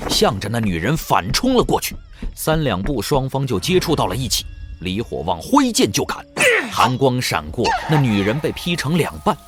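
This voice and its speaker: A man narrating an audio drama in a suspenseful, dramatic scripted storytelling style. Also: mid-range voice.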